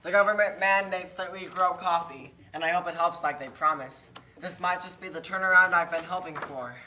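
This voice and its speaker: A man voices a small farmer trying to provide for his family in a puppet play. The voice sits high for a man and is sharply bright. He sounds earnest, anxious and plaintive. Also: fairly even intonation, a little fast, a little hiss or hum. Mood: hopeful. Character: struggling Nicaraguan small farmer, weary anxious father. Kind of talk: amateur political puppet show.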